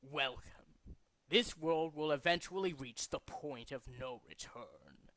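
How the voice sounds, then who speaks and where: Male actor as pompous game villain, zealous doomsday leader; forceful, sharp tone, close dry sound. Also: fairly high pitch, no echo. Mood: cold, commanding. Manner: deliberate, dramatic, pompous declaiming. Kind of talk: theatrical video game character demo reel.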